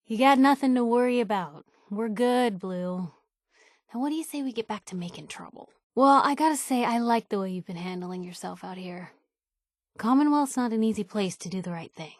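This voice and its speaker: A woman's voice: a little high, no room sound, clean studio sound, close to an everyday voice. An actress playing a spirited, nosy young woman reporter in a video game, loyal and outspoken, talking in a wry, colloquial way. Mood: warm, upbeat. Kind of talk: video game companion dialogue.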